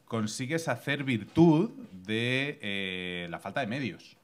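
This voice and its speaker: A man in his thirties hosting a casual podcast interview, relaxed and agreeable, often filling in with short agreements. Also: medium pitch, takes it slowly. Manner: relaxed, fills with "claro" and "sí". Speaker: podcast host. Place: small studio bar set, indoors.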